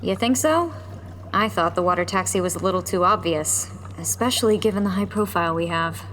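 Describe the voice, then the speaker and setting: A woman playing a tense young rebel leader who talks brusquely and is quick to panic. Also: on the low side, fairly bright tone, close to an everyday voice. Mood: determined. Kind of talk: audio drama acting.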